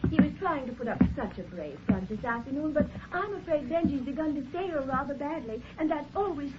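An actress playing a society wife with feigned sad concern, in 1940s radio drama style. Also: female voice, high voice, lively intonation, a little fast. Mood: mock sorrow. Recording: muffled 1940s radio, crowd murmur behind.

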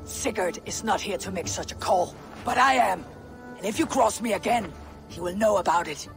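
An actor playing a stern young Viking clan leader in a video game, firm, measured and authoritative. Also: male voice, high voice, gravelly, soft background music. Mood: firm, irritated. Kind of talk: dramatic video game acting.

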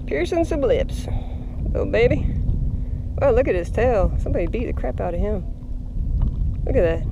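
A woman's voice: a little high, animated, moderate pace, vocal fry, noisy background. Chatty young woman fishing a lakeshore. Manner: chatty, talks to her dog and the fish. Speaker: fishing vlogger. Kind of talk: casual running commentary while fishing. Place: rocky lakeshore outdoors. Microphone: head-mounted action camera.

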